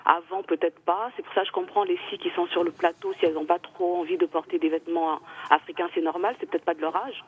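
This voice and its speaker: A woman in her thirties calling in to a radio show, talking earnestly and hesitantly, with many pauses. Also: fairly low pitch, steady tone. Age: 30s. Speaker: caller. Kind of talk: radio call-in comment. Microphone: telephone. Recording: phone line.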